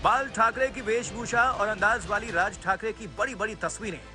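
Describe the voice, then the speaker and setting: A man's voice: high voice, normal speed. A man reading scripted television news narration in an emphatic, dramatic manner. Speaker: news reporter narrating a video package. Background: music bed.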